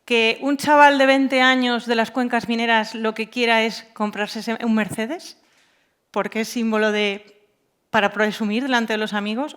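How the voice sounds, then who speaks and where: A woman's voice: fairly high pitch, a bit bright. A woman speaking informally on a panel, colloquial and anecdotal, repeating words for emphasis. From Asturias, Spain. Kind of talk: informal round-table talk.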